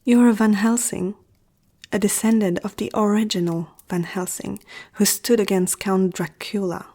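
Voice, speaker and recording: A woman playing an ancient, powerful vampire aristocrat in an ASMR roleplay, poised, intimate and seductive, speaking in a breathy half-whisper. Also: medium pitch, fairly even intonation, moderate pace, warm tone, natural voice. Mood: measured, persuasive.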